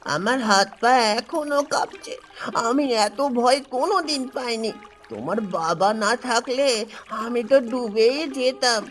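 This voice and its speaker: A woman's voice: a little high, lively intonation, measured pace, a bit bright, faint music behind. A woman playing a timid, frightened little village boy in a cartoon, speaking earnestly. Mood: scared. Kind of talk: cartoon dialogue.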